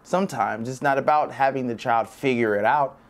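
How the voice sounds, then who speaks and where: A man at an ordinary mid pitch talks straight to the viewer as he closes his read-aloud show. His tone is chatty and encouraging as he speaks to parents and thanks his audience. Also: a little fast. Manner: warm, encouraging, direct address to parents. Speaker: children's read-aloud host. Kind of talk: friendly sign-off to viewers.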